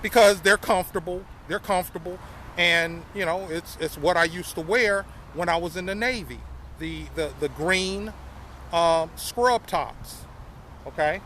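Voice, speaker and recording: Older-ish guy vlogging outside, high voice, says y'all and repeats stuff, faint traffic around. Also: measured pace, bright, forceful tone. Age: 50s. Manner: repeats phrases, addresses viewers as y'all. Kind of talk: casual storytelling to camera.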